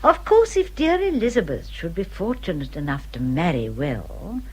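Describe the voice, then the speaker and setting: Motherly older English gentlewoman, practical, genteel, measured emphasis, very varied intonation. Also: on the low side, big pitch swings, slightly rough, low-quality recording. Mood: kindly concern. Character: well-bred older lady, kindly, practical motherly adviser. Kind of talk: radio drama acting.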